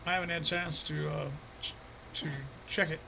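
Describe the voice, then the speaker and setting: A man's voice: a little high, little pitch movement, brisk, bright, forceful tone, slight background noise, poor audio. Easygoing streamer man with a froggy throat. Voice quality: froggy, slightly hoarse throat. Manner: easygoing, chuckling, talks to chat by name. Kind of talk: casual live-stream chat while playing a farming game.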